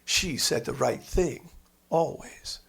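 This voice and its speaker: Male narrator, slightly high voice, expressive and measured literary reading, savouring the words. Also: gravelly, husky.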